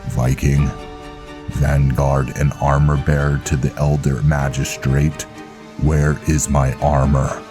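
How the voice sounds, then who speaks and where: A man's voice: a bass voice, little pitch movement, slow, over music, voice pushed down. A man voicing a grave, terse, honor-bound vampire knight commander in a game roleplay. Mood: grave, resolute.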